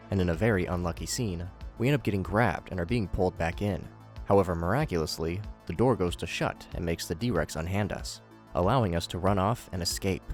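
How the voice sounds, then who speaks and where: A man narrating a scripted recap with commentary, adding wry, joking asides. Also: sits low.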